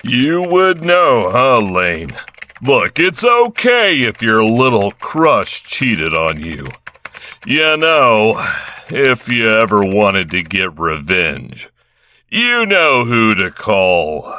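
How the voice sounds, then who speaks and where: A man's voice: lowish voice, huge pitch swings, drawn-out words, bright, forceful tone, a little hiss or hum, heavily acted. A man playing a sleazy newsroom flirt in an audio drama, smug and teasing.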